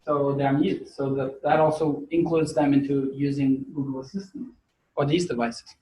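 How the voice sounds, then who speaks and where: A man's voice: medium pitch, fairly even intonation, normal speed, mellow, slight background noise. Portuguese-accented man lecturing in an echoey hall. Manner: casual, chatty, with many fillers. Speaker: university lecturer. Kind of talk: classroom lecture.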